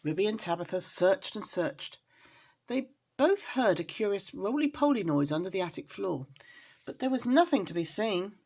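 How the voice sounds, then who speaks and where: A woman reading a children's story aloud as a storyteller, in an expressive style. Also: medium pitch, moderate pace.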